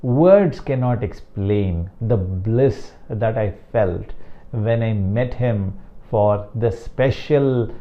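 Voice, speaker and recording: An older man from South India with a Tamil-accented English, a meditation teacher speaking slowly and deliberately about his guru. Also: on the low side, mellow, highs cut off. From Chennai, South India. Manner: slow, deliberate, emphatic on key words. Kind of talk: reflective talk to camera.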